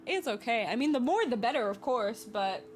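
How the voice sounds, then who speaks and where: A woman's voice: fairly high pitch, lively intonation, moderate pace, slight background noise. A woman in her twenties hosting a podcast, talking alone in a rambling, playful way and laughing often. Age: 20s. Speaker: podcast host. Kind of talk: casual solo podcast monologue.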